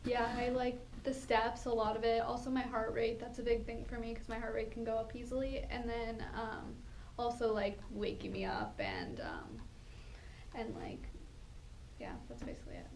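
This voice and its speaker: Soft-spoken twenty-year-old woman. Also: fairly high pitch, moderate pace, slight background noise, rough recording. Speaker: focus group participant. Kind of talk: casual discussion.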